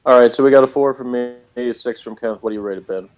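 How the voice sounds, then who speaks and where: A man's voice: medium pitch, quick pace, a little mellow, dull audio. A man giving a casual, off-the-cuff TV episode review as host, rambling along in a sardonic way.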